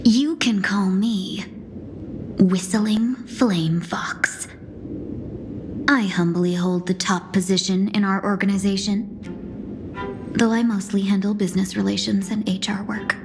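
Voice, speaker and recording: A woman's voice: medium pitch, fairly even intonation, unhurried, pauses often, natural voice. An actress playing a sly young fox woman with a playful, theatrical, bluffing way of talking, in a game. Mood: playfully boastful. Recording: game audio with music. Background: game music.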